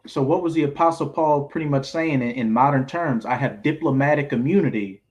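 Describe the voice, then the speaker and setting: A man's voice: medium pitch, a little fast. A man leading an informal group Bible study, explaining scripture in colloquial, streetwise language.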